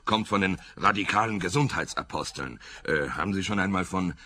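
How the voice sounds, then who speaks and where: An actor playing a smug, blustering lobbyist in a radio play, husky and insistent, with hesitant openings. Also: male voice, deep voice, brisk, sharp tone. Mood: alarmed, insistent. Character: smug male tobacco lobbyist, blustering and alarmist. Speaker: client of a private detective.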